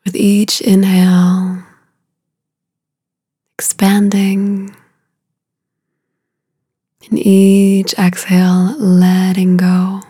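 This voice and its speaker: A woman leading a guided meditation in a soothing, unhurried way, with a soft, breathy voice and long pauses between short phrases. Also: mid-range voice, completely flat delivery, no echo, clean studio sound.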